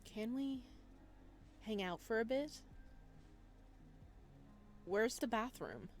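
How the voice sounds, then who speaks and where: A woman's voice: fairly high pitch, sing-song, slow, darkish tone, soft background music. A woman voicing a friendly young man, the polite protagonist of a visual novel, casual and earnest. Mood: tentative.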